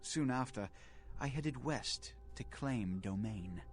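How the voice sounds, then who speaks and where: An actor playing a centuries-old aristocratic vampire prince in a video game, pompous and condescending, with formal, measured diction. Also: male voice, medium pitch, expressive. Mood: proud, lofty. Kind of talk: video game dialogue acting. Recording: game audio with background music.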